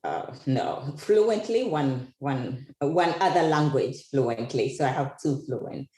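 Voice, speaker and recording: Low-voiced woman hosting, echoey room. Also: expressive, takes it slowly, mellow. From Uganda. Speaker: interview host. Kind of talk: conversational interview. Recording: echoey, roomy sound.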